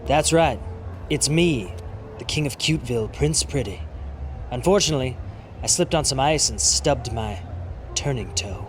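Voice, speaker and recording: A man's voice: mid-range voice, lively intonation, normal speed, long pauses, natural voice. A man acts out a self-adoring fashion model turned wrestler in a video game. He is haughty and snobbish, talking down with princely pomp over faint outdoor noise. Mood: haughty, disdainful. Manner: pompous, haughty. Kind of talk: video game cutscene acting.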